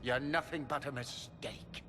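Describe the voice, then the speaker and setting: A man's voice: medium pitch, fairly even intonation, measured pace, lightly acted. An actor playing an arrogant, zealous middle-aged mage lord and father in a video game, with grand theatrical delivery. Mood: scornful, fervent. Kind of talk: video game cutscene acting. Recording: reverberant hall with loud music behind.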